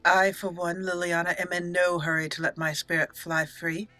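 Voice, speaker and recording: A woman's voice: lowish voice, little pitch movement, normal speed, sharp tone, dry recording, soft background music. A woman hosting a casual, chatty roleplay let's play, sometimes reading dialogue theatrically. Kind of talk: casual game commentary with roleplay.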